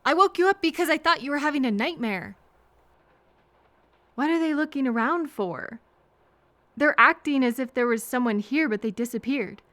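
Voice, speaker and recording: Woman playing a sweet caring lady on a train, highish soft voice, kinda hesitant and soothing, audio roleplay, really dry sound. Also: lively intonation, no echo. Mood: gentle concern, then worried musing. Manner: gentle, hesitant, reassuring, pausing for replies. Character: kind, apologetic woman train passenger comforting a frightened stranger.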